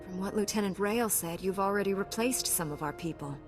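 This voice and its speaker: A woman acts a noble young heroine knight for a video game. The delivery is firm, composed and formal at a middling pitch, with prominent score underneath. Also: brisk, music in the background, lightly acted. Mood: stern. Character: resolute, righteous young female Jedi knight. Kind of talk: scripted video game cutscene acting.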